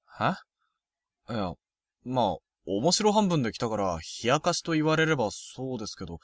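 A man playing a frank, proud, sporty first-year high school boy in a Japanese youth romantic comedy voice drama. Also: very animated, moderate pace, dry recording, clean studio sound. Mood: guarded, blunt.

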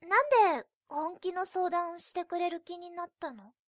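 A woman playing a timid but candid first-year high school girl in a Japanese romantic comedy voice drama. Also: normal speed, dry recording, clean studio sound. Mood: flustered.

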